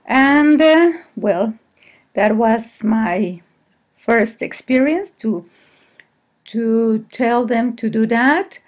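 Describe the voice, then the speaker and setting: A woman teacher with a Mexican accent, presenting to colleagues in a hesitant, halting way and often correcting herself. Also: fairly high pitch, lively intonation. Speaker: English teacher. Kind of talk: screen-recorded presentation to colleagues.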